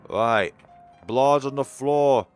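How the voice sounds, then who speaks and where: A young man streaming a game, chatting in a calm, relaxed, low-key way. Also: slow pace, soft background music. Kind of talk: casual let's play chat.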